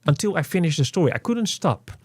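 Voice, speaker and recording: A man co-hosting a casual game review, talking in an animated, rambling way with lots of "you know". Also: fairly high pitch, fast, breathy edge, no echo, studio quality.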